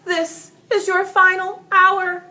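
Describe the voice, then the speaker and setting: Woman playing a forceful, defiant storybook knight. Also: very high-pitched, flat delivery, takes it slowly, pitched above the performer's own voice, full character voice. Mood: fierce defiance. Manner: declamatory, heroic, forceful. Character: bold, defiant male knight challenging a beast.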